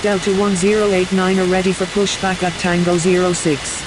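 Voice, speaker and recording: A woman's voice: fairly low pitch, level tone, fairly quick. A woman speaking as an airline pilot over aviation radio, giving clipped, formulaic calls and readbacks. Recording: hissy, noisy radio transmission.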